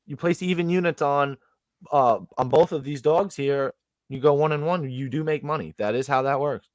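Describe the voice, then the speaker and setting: A man co-hosting a podcast, breaking down fight betting lines in an animated, slangy way. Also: on the high side, moderate pace, no room sound, studio quality. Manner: animated, slangy, calls people dog. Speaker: podcast co-host, betting analyst. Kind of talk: casual sports betting chat.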